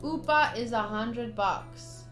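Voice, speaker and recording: A young woman with a fairly high voice narrates a game playthrough. She reads on-screen text expressively and drops into chatty asides, with game music behind her. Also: slow. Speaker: game streamer. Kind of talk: playthrough commentary, reading game text aloud.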